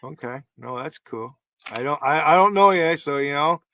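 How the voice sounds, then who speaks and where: A man's voice: fairly high pitch, lively intonation, normal speed, sharp tone, slight background noise. A man in his fifties with a northern New York accent, hosting a livestream chat in a blunt, rambling way and swearing freely. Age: 50s. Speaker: livestream host. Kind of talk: casual chat.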